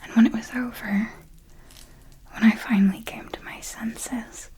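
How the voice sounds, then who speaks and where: A woman whispering an ASMR roleplay as a darkly elegant, possessive vampire mentor, telling it in a deliberate, theatrical way. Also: a little high, fairly even intonation, dark tone. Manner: slow, deliberate, theatrical storytelling.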